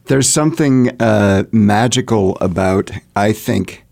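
Low, unhurried man in interview. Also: creaky, darkish tone. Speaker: interview guest, theatre artist. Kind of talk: conversational interview answers.

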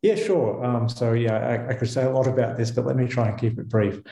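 A man's voice: medium pitch, a little fast, gravelly, warm tone, reverberant. A man with an Australian accent, a visiting physicist, answering questions on a radio show in a thoughtful way, with frequent fillers and restarts. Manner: thoughtful, self-correcting, many fillers. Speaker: guest physicist.

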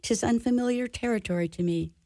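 A woman's voice: fairly high pitch, warm tone, dry recording, natural voice. A woman playing a blunt, teasing nun with a style in a radio play. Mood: wry, teasing. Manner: dry teasing, wry drawn-out lines. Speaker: actor in a community radio play.

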